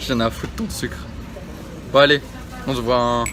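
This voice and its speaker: A man's voice: mid-range voice, steady tone, sharp tone. A male vlogger hosting a joking mock interview, teasing and playful, in a busy public place. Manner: playful, teasing, quick to laugh.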